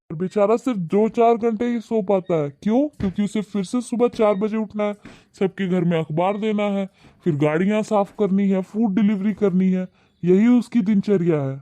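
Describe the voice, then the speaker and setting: A man's voice: high-pitched, dark tone, no echo, close to an everyday voice. Vivek, a man voicing a kind, preachy husband. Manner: earnest, lecturing. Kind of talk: cartoon voice acting.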